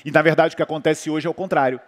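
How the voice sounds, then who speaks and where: A man's voice: a little high, fairly even intonation, racing. Brazilian man in a reverberant hall. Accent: Brazilian Portuguese. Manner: rambling, many fillers and restarts. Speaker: physician guest speaker. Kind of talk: conversational talk on a panel.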